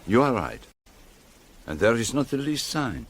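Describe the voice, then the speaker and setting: A shrewd, courteous middle-aged detective in a radio drama. His French-speaking Belgian accent comes in a slow, husky delivery that swings theatrically up and down. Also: mid-range voice, very animated, heavily acted. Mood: musing, shrewd.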